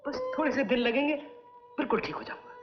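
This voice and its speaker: A man's voice: very high-pitched, animated, quick pace. A man playing an emotional, affectionate young son in an old film melodrama. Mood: tender, affectionate. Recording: dull old film soundtrack with music.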